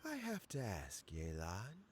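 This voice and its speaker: A man playing a calm, principled older statesman in a video game, speaking formally and deliberately. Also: on the low side, a little hiss or hum. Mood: probing, respectful. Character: dignified, thoughtful elder statesman, principled and calm. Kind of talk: scripted video game dialogue.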